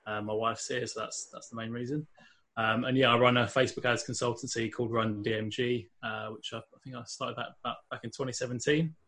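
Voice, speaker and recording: A man's voice: mid-range voice, little pitch movement, brisk, a bit bright. A man with a London accent, a guest on a podcast, talking casually with many fillers and restarts. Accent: London English. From England. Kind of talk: casual interview talk.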